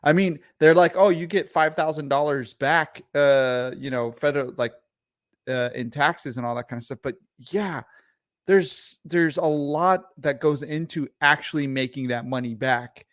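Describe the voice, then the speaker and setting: A man's voice: on the high side, unhurried, dry recording, clean studio sound. Profane, heated male co-host. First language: Arabic. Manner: profane, heated, repeats words. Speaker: podcast co-host. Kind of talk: casual livestream commentary.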